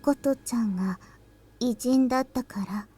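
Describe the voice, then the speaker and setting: A small, deadpan girl scientist from a Japanese visual novel. Her voice is very high and mellow. She speaks tersely and with some hesitation, in an understated way. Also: brisk, husky. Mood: soft, reflective.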